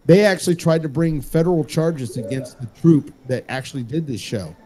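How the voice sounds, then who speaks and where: A man's voice: a little high, normal speed, dark tone. A man hosting a casual group talk show, rambling and joking, swearing freely and repeating phrases for emphasis.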